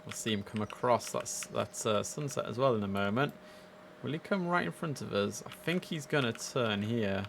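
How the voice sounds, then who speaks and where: A man's voice: mid-range voice, normal speed, creaky, a bit bright, slight background noise. A young man giving chatty, enthusiastic casual commentary as he streams a game live from indoors. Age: young adult. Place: indoors at a computer.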